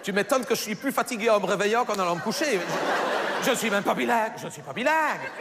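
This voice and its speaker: A man's voice: sits high, expressive, quick pace, big-room sound, a loud crowd, heavy background noise. A man voicing a chatty middle-aged housewife with a Belgian French accent, rambling and stammering through self-corrections in a stand-up monologue. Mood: gossipy. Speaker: comedian.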